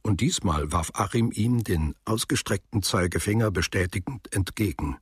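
A man's voice: on the low side, normal speed, breathy edge, no echo, clean studio sound. A man narrating a literary radio drama from a script. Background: music.

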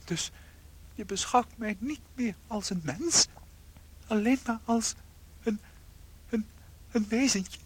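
A woman playing a tiny shrunken unfaithful husband in a radio play, theatrical, anxious and guilt-ridden, with a high husky voice. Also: takes it slowly, long pauses. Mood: pleading, distressed.

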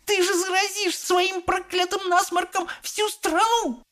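A man's voice: very high-pitched, level tone, a little slow, pushed and bright, voice pushed up, full character voice. A man voicing a hysterical, scolding mother in an audiobook, shrieking and frantic. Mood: panicked shrieking. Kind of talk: audiobook character voice.

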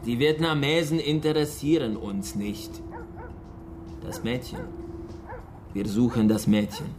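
An actor in a radio drama playing a menacing, sceptical male interrogator, gravelly and insinuating. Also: medium pitch, flat delivery, measured pace, over music, close to an everyday voice. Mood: cold menace.

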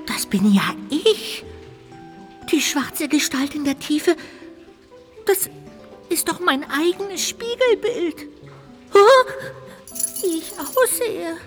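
A woman playing an anxious, childlike little ghost in a children's radio play, with expressive, lamenting exclamations. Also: extremely high pitch, takes it slowly, long pauses, faint music behind, full character voice. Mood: horrified.

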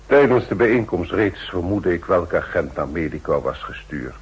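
A powerful, shrewd statesman in a 1960s radio drama. The voice is deep and very husky, formal and commanding, with animated intonation. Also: highs cut off, full character voice. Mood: shrewd, measured. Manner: formal, measured, authoritative. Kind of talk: 1960s Dutch radio play acting.

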